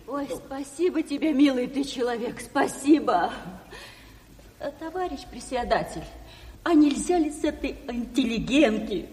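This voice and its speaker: Poor wailing peasant lady, high voice, pious and simple, ringing hall. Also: expressive, moderate pace, a little mellow. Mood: grateful. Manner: wailing, lamenting, folksy exclamations. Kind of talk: Soviet audio drama acting. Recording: reverberant studio hall.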